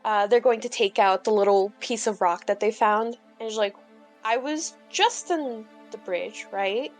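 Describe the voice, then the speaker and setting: A woman's voice: mid-range voice, a little slow, faint music behind. A woman playing a tabletop roleplaying game, improvising casually and thinking aloud in a hesitant, informal way.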